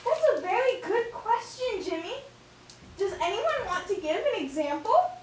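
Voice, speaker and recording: A woman's voice: very high-pitched, sing-song, moderate pace, darkish tone. A woman voicing a schoolteacher puppet in an amateur scripted show, praising and prompting her class with questions. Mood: instructive. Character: chirpy puppet schoolteacher lady leading a science class. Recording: echoey room, distant mic, faint noise.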